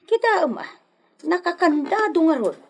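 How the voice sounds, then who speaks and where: A woman's voice: very high voice, sing-song, moderate pace, gravelly, highs cut off, full character voice. A woman playing an exasperated, scolding village mother in an Ilocano radio comedy drama, speaking with an Ilocano accent. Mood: worried, weary.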